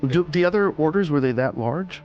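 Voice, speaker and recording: A man's voice: a little high, lively intonation, fairly quick, dull audio, faint music behind. A man in casual, conversational discussion, like a podcast speaker.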